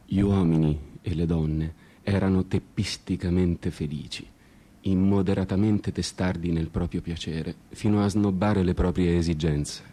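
A man with a very deep, mellow voice narrates a radio theatre piece. He speaks as a storyteller from a script, with a solemn tone that carries dry mock nostalgia. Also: fairly even intonation, measured pace. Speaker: narrator and performer.

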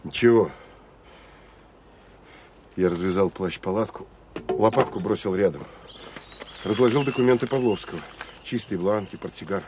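An actor playing a terse, introspective Soviet military officer who narrates an audio drama in measured, clipped phrases. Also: male voice, fairly low pitch, noisy background, natural voice. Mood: subdued. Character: male Soviet military counterintelligence officer, terse, weary, self-critical. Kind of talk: Russian audio drama first-person narration.

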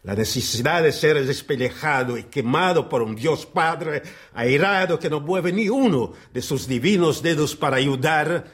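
An actor playing a haughty, bitter, ailing Spanish writer in a radio play, speaking in a formal, disdainful, declamatory manner. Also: male voice, fairly high pitch, animated, normal speed, few pauses, lightly acted.

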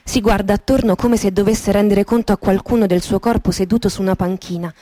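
A woman gives a dramatic literary reading in the manner of a radio theatre monologue, intimate and poetic, with deliberate pauses. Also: medium pitch, steady tone, mellow. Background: music with guitar and sound design.

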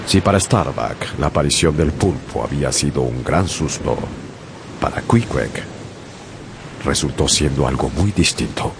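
A man narrating a seafaring story as a sailor in a radio drama, measured and storytelling. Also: a bass voice, expressive, gravelly, noisy background, heavily acted. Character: man, sailor recounting a whale hunt.

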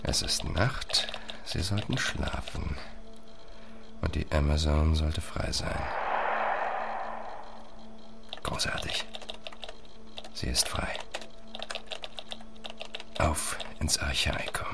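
A man's voice: a bass voice, slow pace, vocal fry, long pauses, noisy background, full character voice. An actor playing an obsessive, pushy male scientist in a radio drama, urgent and insistent. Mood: excited muttering. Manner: urgent, insistent, muttering when alone.

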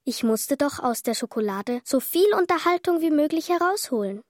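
High-voiced, eager young girl. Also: animated, moderate pace, no room sound. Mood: eager, playful. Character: bright twelve-year-old girl, curious, playful, cheeky. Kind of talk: scripted radio drama. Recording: studio radio drama with music.

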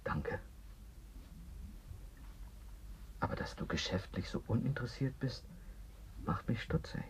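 A man acting an anxious, philosophising character in a German radio play, declamatory and rough-edged. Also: medium pitch, expressive, normal speed, slight background noise, poor audio. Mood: weary, brooding.

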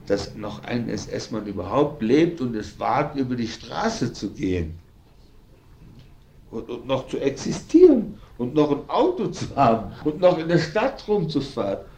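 Older guy, gravelly and mellow, bragging in choppy repeats, bit of noise on an old tape. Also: mid-range voice, sing-song, unhurried. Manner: emphatic, boastful, repetitive fragments. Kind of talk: informal recorded conversation.

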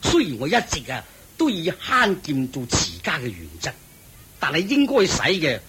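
An actor playing a stern, thrifty wealthy master of a household in a radio drama, commanding and lecturing. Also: male voice, on the high side, huge pitch swings, normal speed, bright, forceful tone, husky, full character voice. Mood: firm. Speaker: head of household.

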